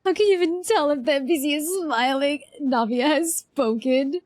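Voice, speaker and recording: A woman's voice: sits high, moderate pace, no echo, clean studio sound. A woman livestreaming a game, chatting casually with viewers in an effusive, exclamatory way. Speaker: game livestreamer reacting to a quest. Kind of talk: casual livestream chat and reactions.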